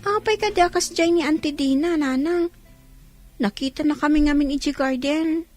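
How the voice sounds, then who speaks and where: A woman's voice: high voice, moderate pace, dry recording, faint music behind, close to an everyday voice. An actress playing a dutiful young schoolgirl with a plaintive, childlike manner and an Ilocano accent in a radio drama.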